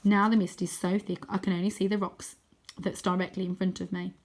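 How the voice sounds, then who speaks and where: A woman reading a children's adventure story aloud as expressive first-person narration. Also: medium pitch, a little fast. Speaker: storybook reader.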